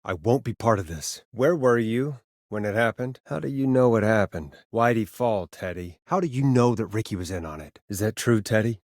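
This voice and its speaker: A man's voice: lowish voice, animated, normal speed, darkish tone, no echo, studio quality. A man acting an earnest, wry late-night radio DJ caught in a crisis in a video game, talking conversationally and sometimes hesitantly. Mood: stern, accusing. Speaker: radio host.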